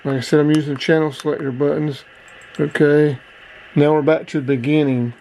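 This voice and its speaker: Higher-voiced man reviewing gear. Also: level tone, moderate pace, gravelly, darkish tone, a little hiss or hum, poor audio. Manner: plain-spoken, informal. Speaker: host, product reviewer. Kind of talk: casual hands-on product review.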